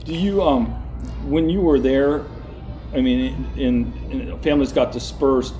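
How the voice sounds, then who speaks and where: A man hosting an interview with a mid-range voice. He asks his questions hesitantly, with many ums and restarts. Music plays clearly behind him. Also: mid-range voice, unhurried, mellow, rough recording. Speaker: host.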